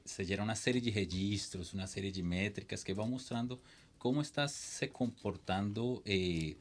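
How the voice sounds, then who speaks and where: A man, a guest on a tech panel, explaining calmly with small hesitations. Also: lowish voice. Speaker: guest expert. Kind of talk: casual panel discussion.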